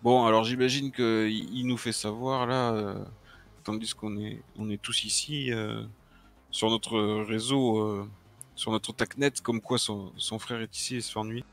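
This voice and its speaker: A man playing a tabletop role-playing game, speaking haltingly and often repeating his words. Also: fairly low pitch, flat delivery, a bit bright, faint music behind. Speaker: player. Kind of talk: casual role-play talk.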